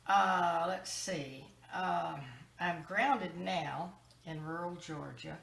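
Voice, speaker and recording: A woman's voice: lowish voice, very slow, vocal fry, a bit bright. A woman from Ohio introducing herself on a video call, musing and searching for words. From Ohio, United States. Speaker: meeting participant. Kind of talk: casual self-introduction. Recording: roomy video call, faint noise.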